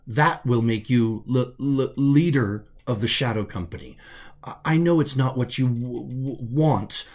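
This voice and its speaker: An anxious, compassionate artificial being voiced by a man speaks in a science fiction audiobook. It is gender-neutral and gentle, and it stutters, catching on the first sounds of words. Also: mid-range voice, a little slow. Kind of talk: audiobook character dialogue.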